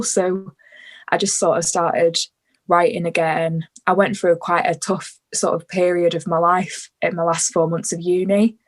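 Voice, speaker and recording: Young northern English woman, casual guest, easy laugh, trailing delivery. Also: fairly low pitch. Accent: northwest English, between Liverpool and Manchester. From northwest England. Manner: chatty, laughs often, trails off softly. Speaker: guest musician. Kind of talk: casual conversation.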